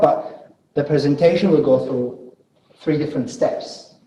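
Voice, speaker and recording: A man's voice: fairly high pitch, dark tone. A man from Iraq, giving an academic seminar talk on plant pathology, eager and often repeating words. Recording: echoey room, distant mic, faint noise.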